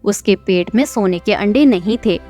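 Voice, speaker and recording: Expressive woman storyteller. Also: medium pitch, normal speed, faint music behind. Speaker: storyteller, channel host. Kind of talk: scripted children's story narration.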